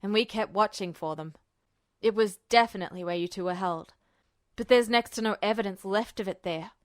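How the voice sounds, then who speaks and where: A woman's voice: medium pitch, fairly quick, no echo, studio quality, pitched below the performer's own voice, natural voice. A woman voicing an earnest, apologetic military man in acted audiobook dialogue. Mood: regretful, earnest.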